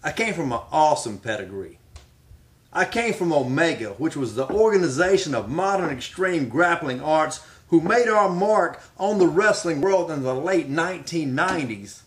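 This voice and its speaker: Middle aged guy, wrestler promo type talk, bit high pitched, really emphatic and dramatic. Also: animated, moderate pace. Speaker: professional wrestler. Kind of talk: promotional speech answering questions.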